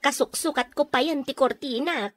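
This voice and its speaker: A woman's voice: sits high, normal speed, natural voice. A woman with an Ilocano accent playing a house-proud, industrious neighbor in a radio comedy, firm and matter-of-fact. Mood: brisk, impatient.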